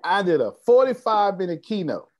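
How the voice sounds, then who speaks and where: Boastful, animated male business coach. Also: high voice, normal speed. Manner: boastful, preachy, calls on attendees by name. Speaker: business coach hosting an online meeting. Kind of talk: animated motivational group call.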